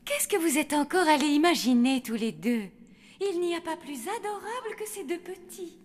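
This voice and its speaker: A woman's voice: very high-pitched, sing-song, moderate pace, noisy background. A woman voicing a sweet, indulgent zombie mother in a cartoon. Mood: chiding. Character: doting zombie mother, fondly dismissive. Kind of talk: cartoon voice acting.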